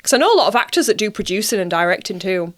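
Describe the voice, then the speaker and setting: Animated young Yorkshire woman, chatting. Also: medium pitch, racing. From Bradford, northern England. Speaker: actress being interviewed. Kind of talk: casual chatty interview.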